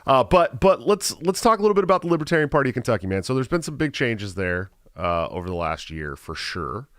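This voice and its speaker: A man hosting a podcast, with a deep, stuffy, slightly nasal voice and a loose, casual way of talking. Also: sing-song, fast talker, a bit bright, no room sound, clean studio sound. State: congested. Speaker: podcast host.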